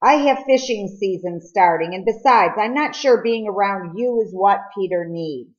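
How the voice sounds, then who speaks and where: A woman's voice: medium pitch, normal speed, lightly acted. Woman reading as a firm older male mentor, muffled sound. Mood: skeptical, stern. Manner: firm, challenging. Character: gruff, skeptical older man, stern mentor.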